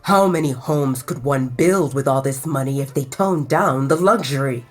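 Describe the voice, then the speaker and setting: A woman voicing a serious, grumbling young male werewolf with a husky tone in an audio romance story. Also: very deep voice, moderate pace, faint music behind, voice pushed down. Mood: disapproving grumble. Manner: grumbling, dry. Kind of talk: audiobook character voice over music.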